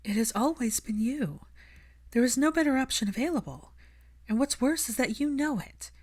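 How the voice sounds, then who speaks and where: A woman's voice: high-pitched, normal speed, a little mellow, voice pushed up. Earnest, insistent, determined woman, acted by a narrator. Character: determined, caring, persuasive young woman.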